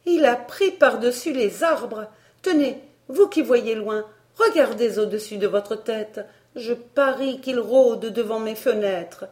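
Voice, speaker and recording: A woman's voice: on the high side, animated, a little slow, darkish tone, voice pushed up. A woman voicing a sour, indignant, paranoid governess in a storybook, with a theatrical, scolding manner. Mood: anxious, insistent.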